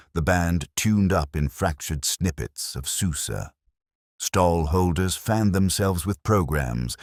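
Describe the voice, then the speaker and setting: A man reading scripted mystery fiction in a measured, literary storytelling manner. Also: low-pitched, no room sound, clean studio sound. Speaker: narrator.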